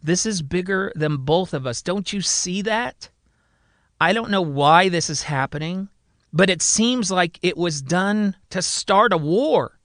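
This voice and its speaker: A man's voice: high-pitched, expressive, a little slow, a bit bright, dry recording, clean studio sound, pitched above the performer's own voice. A man voicing an insistent, pleading male president in dramatised podcast storytelling. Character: urgent, pleading male president trapped in a patient's body.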